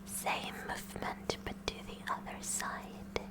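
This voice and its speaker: A woman voicing a kooky, curious high school science club president in a slow, repetitive, instructing ASMR roleplay. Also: lightly acted. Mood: focused, instructing.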